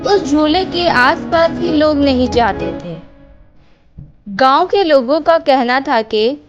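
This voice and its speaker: A woman's voice: sits high, animated, slow, muffled recording. A woman narrating a scripted horror bedtime story as the storyteller. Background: loud music.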